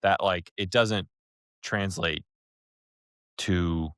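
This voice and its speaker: A man's voice: low voice, fairly even intonation, drawn-out words, fairly bright tone, no echo, clean studio sound. A man co-hosting a podcast in a casual, slangy style, with emphatic, exaggerated turns of phrase. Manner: informal, slangy, emphatic hyperbole. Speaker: podcast co-host.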